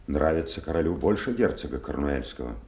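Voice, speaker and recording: An actor playing a courteous, reserved count in an audio play, speaking in a measured, dry, courtly way. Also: male voice, a bass voice, animated, darkish tone. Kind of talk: theatrical audio play acting.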